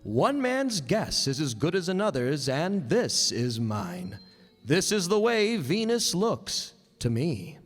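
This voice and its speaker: Old-time radio narrator, man acting: formal, deliberate, lively intonation, big echoing stage. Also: a little high, soft background music, full character voice. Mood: solemn, intriguing. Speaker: radio drama announcer and narrator. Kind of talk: scripted 1950s radio narration. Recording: reverberant hall stage recording.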